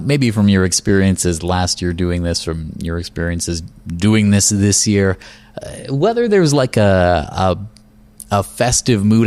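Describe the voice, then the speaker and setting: A man's voice: low-pitched, darkish tone. A man hosting and interviewing for a radio programme. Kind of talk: interview with scripted introduction.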